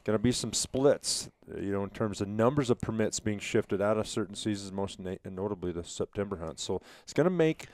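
A man's voice: lowish voice, lively intonation, brisk, hardly any pauses, darkish tone, no room sound. A middle-aged man co-hosting a casual podcast discussion, speaking into a headset mic. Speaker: podcast co-host. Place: small wood-panelled room, around a table.

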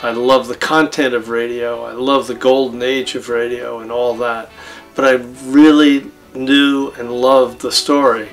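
Older male expert, documentary interview, middle register, soft music underneath. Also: medium pitch, level tone, unhurried, low-quality recording. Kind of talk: seated interview recollection. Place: indoors, book-lined room.

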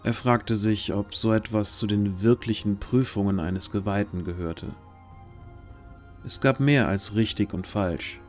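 Male narrator, fairly low voice, scripted fantasy audio drama, faint music behind. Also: a little slow.

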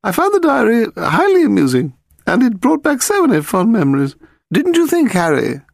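A man's voice: high-pitched, wildly swooping pitch, moderate pace, gravelly, dry recording, studio quality, voice pushed up, full character voice. A man voicing a haughty, amused older aristocratic lady in an audiobook, with a theatrical, condescending manner. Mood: amused, languid.

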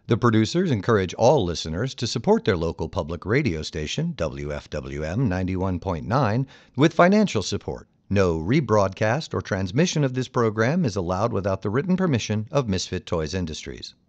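Guy announcer reading radio show credits, up n down pitch, bit muffled. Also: very animated, normal speed, hardly any pauses. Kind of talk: scripted sponsor announcement.

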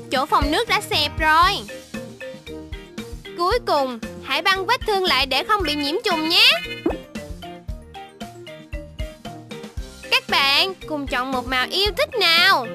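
An actor voicing a caring, cheerful baby shark doctor, encouraging and instructing young viewers in a children's cartoon, with music behind. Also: very high voice. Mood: reassuring.

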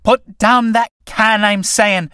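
A woman reading a play aloud and voicing a quick-tempered young tinker woman who speaks loudly and commandingly. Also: sits high, dry recording, clean studio sound, pitched above the performer's own voice, lightly acted. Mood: threatening. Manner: forceful, angry, commanding.

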